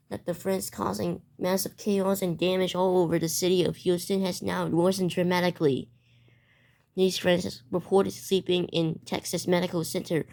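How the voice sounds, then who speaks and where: Casting: woman, slightly low voice, amateur news anchor in a mock emergency bulletin, halting delivery with repeated phrases. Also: a little mellow.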